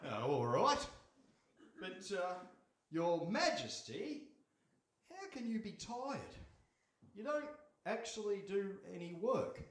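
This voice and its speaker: A man's voice: high-pitched, big pitch swings, takes it slowly, pauses often, fairly bright tone, full character voice. An actor playing a meek, reluctant, henpecked fisherman, hesitant and exasperated, in a live radio play. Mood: puzzled, hesitant. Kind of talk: live radio theatre acting. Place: hall, live stage performance. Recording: distant mic in an echoey hall.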